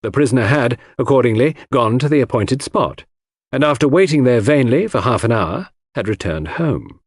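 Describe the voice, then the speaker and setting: A man reading classic crime fiction as a scripted audiobook, in formal, measured storytelling. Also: mid-range voice, expressive, breathy edge, no echo, studio quality. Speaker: audiobook narrator.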